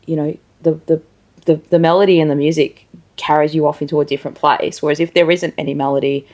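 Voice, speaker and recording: A woman's voice: deep voice, little pitch movement, quick pace, a little mellow. A woman hosting a conversational interview, speaking informally and thinking aloud as she goes. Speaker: interviewer, show host.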